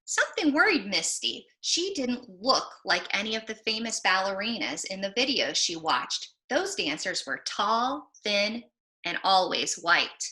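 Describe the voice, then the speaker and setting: A woman giving a book talk for children in an emphatic, encouraging manner with deliberate phrasing. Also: a little high, sharp tone. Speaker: presenter of children's books.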